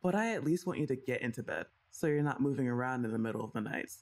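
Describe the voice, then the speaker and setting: A young woman's voice that moves up and down a lot as she speaks, in a teasing, bossy-but-playful way. She sighs and laughs softly between lines while she talks straight to the listener in a scripted ASMR roleplay. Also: extremely low pitch, expressive, quick pace.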